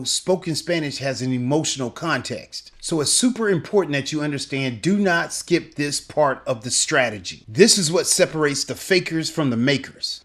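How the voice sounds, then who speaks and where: A middle-aged man giving a scripted video lesson as a language teacher, upbeat and emphatic in his coaching. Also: on the high side.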